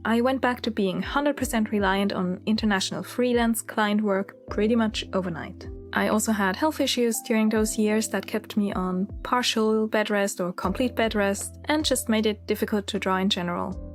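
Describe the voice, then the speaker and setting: Swiss German woman in her thirties, chatty and candid voice-over, mid-pitched, soft music underneath. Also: normal speed, few pauses. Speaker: illustrator and channel host. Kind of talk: reflective voice-over narration.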